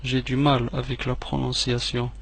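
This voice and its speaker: A man whose first language is Arabic leads a language lesson as a patient drill. He says each phrase slowly and repeats it, then rattles off the translation. His middling voice has a gravelly fry. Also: medium pitch, monotone, a bit bright. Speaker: French teacher.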